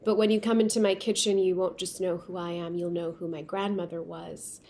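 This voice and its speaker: A woman's voice: on the low side, level tone, a little fast. Pakistan-raised woman founder, conversational. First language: Urdu. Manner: warm storytelling with frequent restarts. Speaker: guest, company founder. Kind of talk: remote interview conversation. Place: remote call from home.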